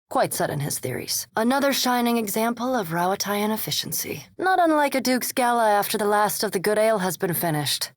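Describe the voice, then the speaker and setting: Erudite vampire scholar, female, fantasy game. Low, breathy-edged voice, precise formal delivery, cool curiosity, close dry recording. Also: dry recording. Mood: intrigued. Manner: formal, precise, dryly intellectual. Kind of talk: scripted fantasy game dialogue.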